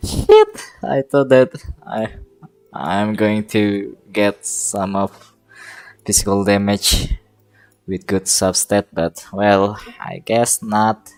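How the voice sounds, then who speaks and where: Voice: deep voice, drawn-out words, long pauses, darkish tone, smooth, no room sound. A game streamer chatting casually and hesitantly, muttering to themselves during a live stream. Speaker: game livestreamer. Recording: music playing behind the voice. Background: game music.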